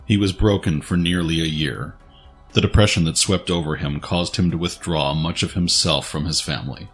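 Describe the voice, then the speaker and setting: A man's voice: deep voice, fairly even intonation, normal speed, fairly bright tone, soft background music. A man narrating a scripted dramatic story as its narrator.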